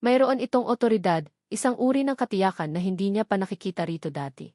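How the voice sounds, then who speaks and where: A woman with a Filipino accent narrating a scripted love story in a measured, expressive, dramatic way. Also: mid-range voice, no room sound, studio quality.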